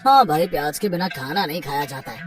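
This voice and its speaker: A woman's voice: low voice, expressive, a little fast, over music. A woman voicing an insistent, grumbling male market customer in a children's cartoon story. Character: onion-craving male market customer, low-voiced, insistent.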